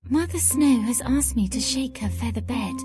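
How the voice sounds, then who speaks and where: A woman's voice: fairly high pitch, animated, moderate pace, dark tone, faint music behind, close to an everyday voice. A woman voicing a polite, kind young girl in a children's fairy tale game. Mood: bright, helpful. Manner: polite, sweet, eager. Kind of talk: children's storybook game acting.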